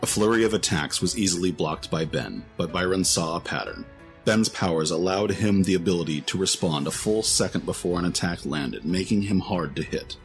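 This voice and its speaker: A man's voice: low-pitched, normal speed, soft background music. A man reading scripted narration for a fantasy story in a storytelling style.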